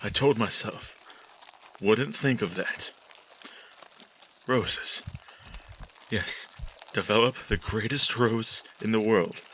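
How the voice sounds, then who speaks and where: Man as a slow, haunted, brooding narrator. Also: on the low side, a bit bright, a little hiss or hum. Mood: bitter, brooding. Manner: slow, brooding, halting, melodramatic. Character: haunted, regretful man brooding over his failed plan. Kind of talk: retro 1940s-style radio monologue.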